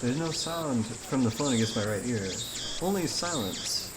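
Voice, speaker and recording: A man's voice: mid-range voice, expressive, normal speed, background noise, lightly acted. A man voicing a brooding, paranoid young man in a dramatic, grave reading of a visual novel script. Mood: pensive.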